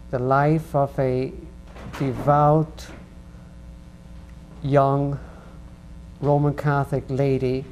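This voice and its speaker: A man from Ireland hosting a religious interview program, speaking slowly and earnestly. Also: mid-range voice, darkish tone, slight background noise. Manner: slow, earnest and unhurried. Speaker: host.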